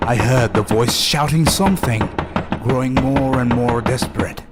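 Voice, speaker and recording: A man's voice: mid-range voice, moderate pace. A man reading a scripted first-person horror story as narrator, with music behind him.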